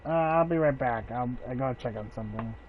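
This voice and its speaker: A man's voice: mid-range voice, fast talker, slight background noise. A man chatting casually as he live-streams a video game. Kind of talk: casual live gameplay chat. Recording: dull, lo-fi stream audio.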